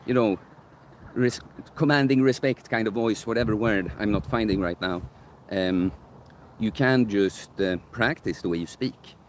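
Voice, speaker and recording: A young man with a deep bass voice chatting casually outdoors while livestreaming a Q&A, rambling and correcting himself as he thinks aloud. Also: unhurried, slight background noise. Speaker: coach streaming live Q&A. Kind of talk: casual live-stream chat answering viewer questions. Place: outdoors in a park near sunset. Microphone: phone camera held by the streamer.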